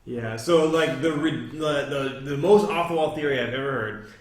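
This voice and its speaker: A man's voice: mid-range voice, normal speed. A man chatting casually about a card game, hesitant and often trailing off. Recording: very echoey room.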